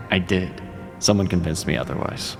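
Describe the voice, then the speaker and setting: A cryptic, teasing mage mentor, acted by a man in a fantasy audio drama. His voice sits low with a gravelly edge, and he keeps an easygoing, chuckling manner. Faint music plays underneath. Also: measured pace. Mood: calm.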